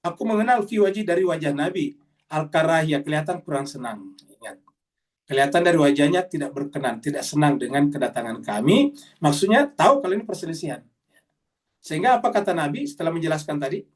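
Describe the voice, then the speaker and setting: A middle-aged man teaching a Quran study, with a fairly high voice. He works through Arabic phrases one by one, dropping in "ya" between them, and sounds a little distant from the microphone. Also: lively intonation, fast talker. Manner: explains Arabic phrase by phrase, frequent "ya" tags. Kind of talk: religious study lecture. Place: study gathering, online session.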